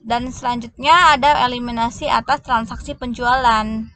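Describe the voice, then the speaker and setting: A woman giving a step-by-step explanatory lesson as a lecturer, in a halting way, often restarting words. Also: fairly high pitch, fairly even intonation, fairly bright tone. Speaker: accounting lecturer. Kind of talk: explanatory lesson walking through worked examples. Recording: noticeable background noise.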